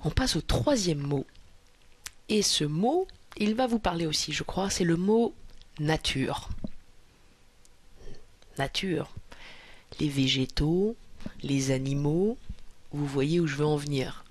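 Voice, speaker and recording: A man asking interview questions in a gently probing, conversational way. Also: on the high side, animated, slow, long pauses, no echo.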